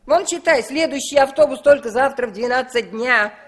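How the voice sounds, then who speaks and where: A woman's voice: high-pitched, moderate pace. An actress playing a bossy, fussy middle-aged woman passenger who nags and scolds in an old radio play. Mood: indignant. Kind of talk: 1960s Soviet radio play acting. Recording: noisy old 1960s radio recording.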